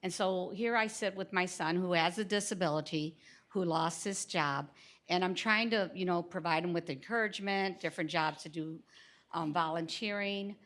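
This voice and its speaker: A woman speaking loudly and earnestly at a public forum as a parent, pausing often with "um". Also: lowish voice. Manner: loud, earnest, with frequent "um" hesitations. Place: conference hall. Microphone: handheld microphone.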